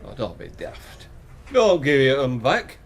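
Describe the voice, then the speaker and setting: Lively, teasing Northern English punter. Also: male voice, mid-range voice, measured pace, a little hiss or hum. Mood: teasing, curious. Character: teasing, blunt older betting-shop regular, a dad. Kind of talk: naturalistic British radio drama acting.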